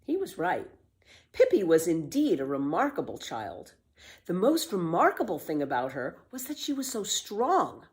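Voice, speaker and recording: Expressive, lower-voiced woman reading a storybook. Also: normal speed. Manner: expressive, emphatic read-aloud delivery. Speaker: storyteller reading a children's book. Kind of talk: scripted storybook narration.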